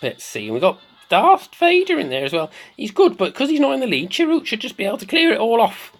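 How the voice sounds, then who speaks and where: Someone putting on the voice of a slightly mad, excitable woman doing theatrical video game commentary, repeating phrases for effect. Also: mid-range voice, fast talker, pitched above the performer's own voice. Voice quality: put-on comic voice. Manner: theatrical, repeats phrases, swoops between squeals and murmurs.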